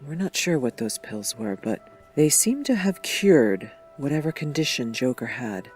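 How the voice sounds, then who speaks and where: A man playing a concerned, serious doctor in a superhero fan dub, reporting in a measured, matter-of-fact way. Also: medium pitch, big pitch swings, faint music behind.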